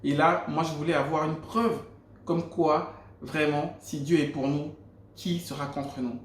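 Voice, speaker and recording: Man, Gabonese French accent, fairly high voice, earnest faith testimony, echoey room. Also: fairly even intonation, a little slow, slight background noise. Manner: earnest storytelling with frequent restarts. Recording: roomy echo.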